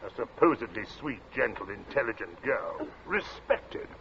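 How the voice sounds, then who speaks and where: A man's voice: on the low side, big pitch swings, moderate pace. An actor playing a snobbish, domineering middle-aged English father in a radio suspense play, commanding and scornful. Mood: tense, indignant. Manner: commanding, scornful, clipped outbursts. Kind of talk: 1940s radio suspense acting. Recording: dull old radio recording, faint noise.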